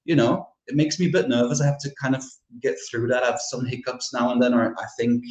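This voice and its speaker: A man's voice: medium pitch, steady tone, fast. Flemish-accented man in a roomy space. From Belgium (Flanders). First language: Dutch (Flemish). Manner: candid, reflective, laughs often. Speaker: horror author, podcast guest. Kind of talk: casual interview chat.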